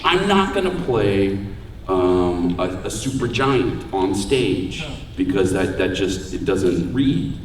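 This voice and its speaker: A man with a deep voice giving a casual, joking talk about voice acting on a convention panel. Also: animated, takes it slowly, slight background noise. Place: large convention hall room. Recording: echoey hall, voice far from mic.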